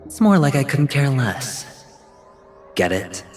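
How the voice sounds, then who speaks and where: A man's voice: medium pitch, sing-song, slow, a little mellow. An actor voicing a defiant, guarded young male gambler in a video game, with cool, dismissive retorts. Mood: cocky defiance. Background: music.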